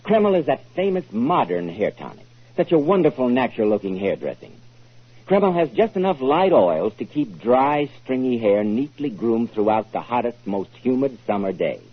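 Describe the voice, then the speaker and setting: Old time radio announcer guy, polished pitchman delivery, super animated intonation, muffled vintage recording. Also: normal speed, husky. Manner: emphatic, polished salesman delivery.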